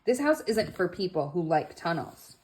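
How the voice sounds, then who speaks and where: Woman acting a possessive small boy, storybook, bossy, emphatic, lively pitch swings. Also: moderate pace, low-quality recording.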